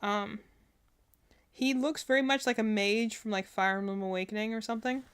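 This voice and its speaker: A woman's voice: mid-range voice, fairly even intonation, moderate pace, clean tone. A woman streamer chatting casually and thinking aloud as she gives rambling, opinionated reactions. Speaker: streamer reacting to game character trailers.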